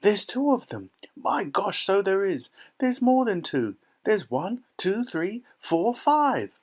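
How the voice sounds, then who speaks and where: Very high, astonished storybook onlooker. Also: male voice, sing-song, slow, full character voice. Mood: amazed. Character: excited, astonished crowd member, high-pitched and clamouring. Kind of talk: children's storybook character voice.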